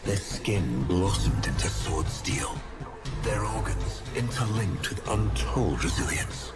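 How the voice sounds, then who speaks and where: Haughty bitter game villain, really low voice, theatrical and menacing, loud music under it. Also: male voice, animated, slow pace. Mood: bitter, grave. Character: haughty, bitter male villain, a vengeful aristocratic schemer. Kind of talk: dramatic game villain monologue.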